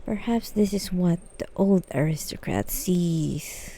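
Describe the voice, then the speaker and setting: A woman's voice: on the low side, animated, takes it slowly, dark tone, a little hiss or hum. A woman streaming a game live and reading its dialogue aloud.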